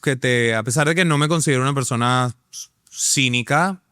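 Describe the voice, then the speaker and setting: A man's voice: medium pitch, normal speed, sharp tone, clean tone, no room sound, studio quality. A man in his thirties with a Venezuelan accent, an actor talking as a guest in a casual interview, enthusiastic and full of fillers and restarts.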